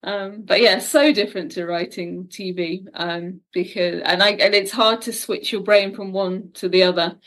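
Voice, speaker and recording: A woman's voice: mid-range voice, steady tone, normal speed, few pauses, a bit bright. A British woman speaking casually and emphatically as an interview guest, telling stories with self-mocking humour. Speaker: guest, audio drama and TV writer.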